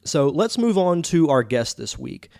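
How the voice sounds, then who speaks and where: A man's voice: on the high side, big pitch swings, measured pace, vocal fry, a little mellow, no room sound, studio quality. Congested man hosting a podcast. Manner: chatty, enthusiastic, with many fillers. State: congested, sore throat. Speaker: podcast host. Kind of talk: casual podcast monologue.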